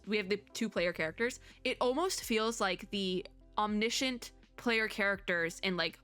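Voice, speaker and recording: A woman game video host chatting casually and excitedly, rambling as she thinks out loud. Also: mid-range voice. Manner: excited, gushing. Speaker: host of a gaming reaction video. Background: music.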